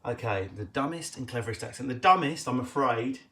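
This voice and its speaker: An Essex man doing improvised stand-up on a home live stream, answering viewers as he goes. He talks fast and cheekily, jumping from loud bursts to half-muttered asides, and his pitch sits in an ordinary middle range. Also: lively intonation. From England. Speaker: comedian hosting a live Q&A stream. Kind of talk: casual improvised stand-up chat to camera. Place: at home, indoors.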